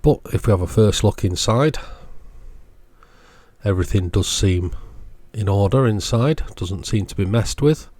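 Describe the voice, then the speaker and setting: A man with a low, deep voice talks through a bench repair in a measured, matter-of-fact way. He explains each step in short phrases, recorded dry and close with hardly any room sound. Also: a little mellow, dry recording. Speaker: presenter of an electronics repair channel. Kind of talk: hands-on repair demonstration commentary.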